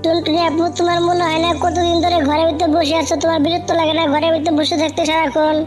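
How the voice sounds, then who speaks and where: High, rapid, fretful bird voiced by a woman. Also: little pitch movement. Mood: chatty, eager. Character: female cartoon birds, chatty, fretful, complaining. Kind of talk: amateur cartoon voice acting. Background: music.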